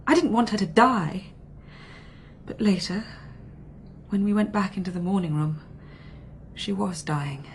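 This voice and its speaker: A woman's voice: medium pitch, a little slow, pauses often, a little hiss or hum, natural voice. An actress playing a tense, guarded young upper-class woman in a radio drama, clipped and haunted.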